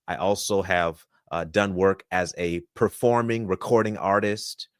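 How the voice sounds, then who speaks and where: A man's voice: deep voice, unhurried, dry recording, clean studio sound. A man from Florida, in his thirties, answering interview questions in an upbeat, polished speaker's way. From Florida, USA. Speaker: podcast guest.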